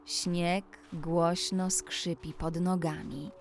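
A woman narrating a scripted children's bedtime story, speaking deliberately and addressing the young listener directly. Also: lowish voice, level tone. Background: music.

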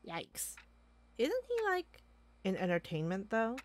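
A woman's voice: medium pitch, huge pitch swings, slow, slight background noise. A woman playing a playful, nosy office underling in an audio roleplay, with a teasing manner. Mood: uneasy. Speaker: voice actor. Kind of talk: amateur audio roleplay acting.

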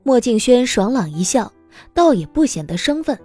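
A woman reading scripted audiobook narration as an expressive storyteller. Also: fairly high pitch, moderate pace, slightly rough, faint music behind.

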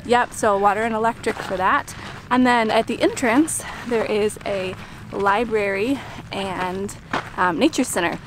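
A woman's voice: mid-range voice, a little slow, noisy background. A woman in her thirties co-hosting a casual travel vlog outdoors, chatting easily into a handheld camera. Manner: easygoing, upbeat, adds tips. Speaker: vlog co-host. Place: outdoors in the desert. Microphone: camera held at arm's length by her partner.

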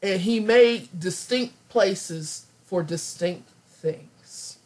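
A woman with a hoarse, raspy voice, still getting over laryngitis, teaching a scripture study lesson over slides and thinking aloud with many ums. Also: mid-range voice, very slow, a little mellow.

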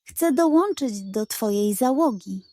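A woman acts out a big scarred warrior beast from a children's audio tale. He is earnest and proud, speaking in a raised, forceful tone at a measured pace. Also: a little high, expressive, no echo. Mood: solemn. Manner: serious, solemn. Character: Krwawy Kieł, tall strong scarred hot-headed warrior beast, solemn.

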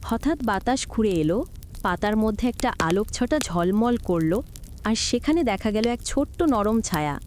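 A woman narrating a scripted cartoon story in a dramatic, measured storytelling manner. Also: mid-range voice, animated, darkish tone, slight background noise.

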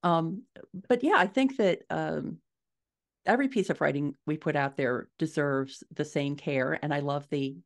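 A middle-aged woman giving measured, explanatory answers as a guest author in a conversational interview over a video call. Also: deep voice. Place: small furnished room at home, indoors.

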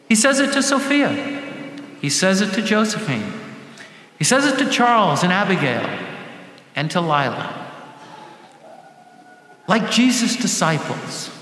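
An older man preaching a sermon as a deacon, speaking deliberately in short phrases with dramatic pauses. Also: high-pitched, pushed and bright. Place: large church sanctuary, at the lectern. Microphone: gooseneck lectern microphone. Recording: echoey church hall with faint background noise.

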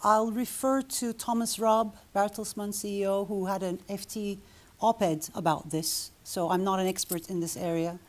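A woman on a festival panel answering a question in a measured, careful way. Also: medium pitch, fairly even intonation, a little mellow.

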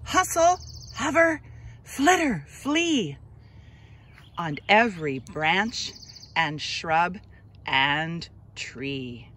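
Unhurried woman reading to children outdoors. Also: on the low side, sing-song, fairly bright tone. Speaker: read-aloud host for children. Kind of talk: children's story read-aloud and friendly outdoor talk to kids. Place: outdoors on a grassy trail. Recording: outdoor ambient noise.

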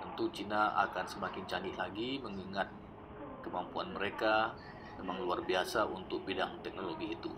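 A man narrating his old travel footage in a relaxed, explanatory voice-over, often pausing with fillers. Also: sits low, crowd noise, low-quality recording. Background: background music.

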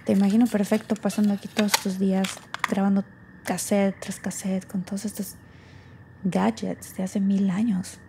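A woman's voice: mid-range voice, flat delivery, normal speed, vocal fry, noisy background, natural voice. A woman playing a guarded, irritable young woman with a Mexican accent, speaking casually and brusquely in an audio drama. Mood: scornful, musing. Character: guarded, irritable young woman clearing out her late father's house. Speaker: lead actor in an audio drama. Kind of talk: naturalistic audio fiction acting.

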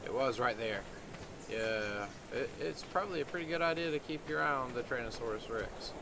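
A man improvising in-character video game roleplay, haltingly talking to himself and often repeating his words. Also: medium pitch, lively intonation. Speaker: roleplay gaming video creator. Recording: loud noise and game ambience behind.

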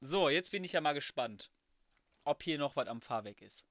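Male German gamer, slightly high voice, slangy and crude let's play host, dry close sound. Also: lively intonation, moderate pace, a bit bright, no room sound. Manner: slangy, crude, jokey muttering to himself. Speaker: gaming YouTuber, host. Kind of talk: casual let's play commentary.

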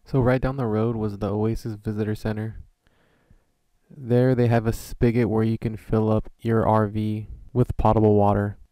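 A man's voice: on the low side, level tone, moderate pace, dark tone, no room sound. A young adult man giving scripted voice-over narration as a travel vlogger.

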